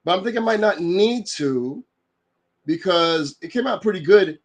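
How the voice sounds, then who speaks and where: A man who is getting over a cold and sounds a little nasal, hosting a live online work session and explaining its features in a relaxed, rambling way full of fillers. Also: high voice, moderate pace. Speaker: livestream host and developer advocate. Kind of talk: casual explanatory livestream talk.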